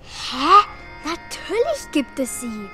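A woman's voice: sits high, huge pitch swings, takes it slowly, bright, forceful tone, soft background music, heavily acted. A female performer playing a bold, stubborn, cheeky orphan girl in a children's radio play, blunt and bossy. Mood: cheeky, insistent. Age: child figure.

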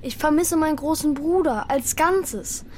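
A woman's voice: high-pitched, measured pace, a little mellow, slight background noise. A woman playing a young boy who misses his brother, earnest and heartfelt, narrating and acting in a children's radio play. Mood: troubled, earnest.

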